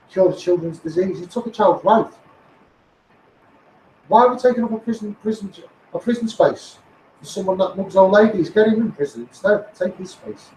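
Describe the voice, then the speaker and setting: A high-voiced man with a Scouse accent declaims through a megaphone in an echoey, noisy recording. He speaks as a campaigner, hammering phrases home by repeating them emphatically. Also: a little mellow, distant mic. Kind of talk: impassioned monologue rant.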